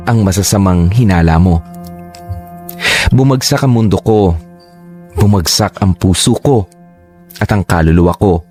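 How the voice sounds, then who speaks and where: A man's voice: fairly low pitch, mellow, natural voice. A man narrating a radio drama in the first person as a young man telling the story of his illness, in an earnest, confessional way.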